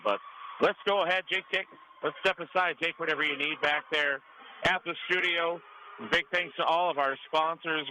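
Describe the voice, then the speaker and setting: A man's voice: medium pitch, bright, forceful tone. American sports announcer man over gym noise. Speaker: play-by-play radio announcer. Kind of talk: live sports commentary. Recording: clearly noisy gym ambience behind.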